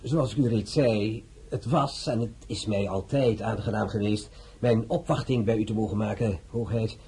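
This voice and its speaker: A man playing a scheming, ingratiating police minister in a radio play, with a smooth, mockingly polite manner. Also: on the low side, moderate pace, warm tone, full character voice. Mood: sly. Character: sly, scheming male police minister, middle-aged.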